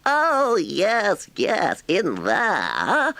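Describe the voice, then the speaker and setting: A man voicing a vain toad gentleman in an audiobook, gushing, melodramatic and given to self-pity. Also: high-pitched, wildly swooping pitch, drawn-out words, gravelly, dry recording, voice pushed up, heavily acted. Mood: defiant, impatient. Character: vain, wheedling toad, theatrical gentleman of leisure. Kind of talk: audiobook character voice.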